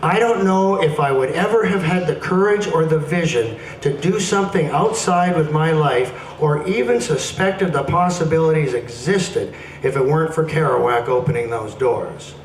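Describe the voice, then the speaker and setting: A man's voice: fairly high pitch, lively intonation, normal speed, few pauses. A man giving a playful, dramatic spoken-word performance, reading aloud in a casual live setting. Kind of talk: animated live poetry reading with casual introductions. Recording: roomy, distant mic with faint noise.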